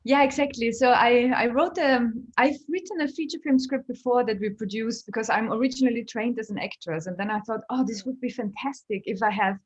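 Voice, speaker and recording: Woman from Austria, German first language, comedian guest, lively storytelling, laughs often. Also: on the high side, a little fast, few pauses, slightly rough, lo-fi sound. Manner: chatty, laughs often while telling stories. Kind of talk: casual interview chat.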